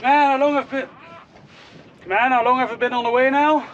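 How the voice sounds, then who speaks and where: A man's voice: very high voice, fairly even intonation, moderate pace, a bit bright, highs cut off. A man doing amateur acting and bantering between takes, recorded outdoors. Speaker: sailing friend and amateur co-actor. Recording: outdoor recording with clear background noise.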